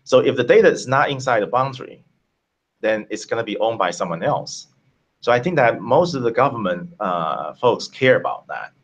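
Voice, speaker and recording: A man from Taiwan, a tech company founder, answering interview questions over a video call in a halting way with many fillers. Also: mid-range voice, lively intonation, highs cut off.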